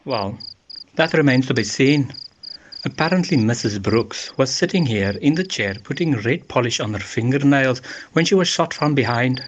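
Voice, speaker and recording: Through a noisy amateur recording comes a methodical police inspector, acted by a man in a radio murder mystery. He has a medium-pitched voice and speaks formally and deliberately, keeping calm as he works through the case. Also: lightly acted. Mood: probing, formal.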